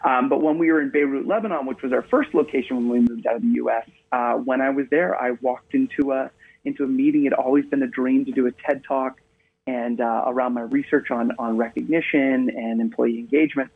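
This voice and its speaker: A man from Maine talks as a radio guest, telling stories in a chatty, upbeat way. His voice has a gravelly, husky edge. It comes through a thin, tinny phone line. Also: medium pitch, steady tone, fairly quick. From Maine, USA. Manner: chatty storyteller, upbeat, leans on "actually". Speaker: guest, international speaker. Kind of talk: casual interview conversation.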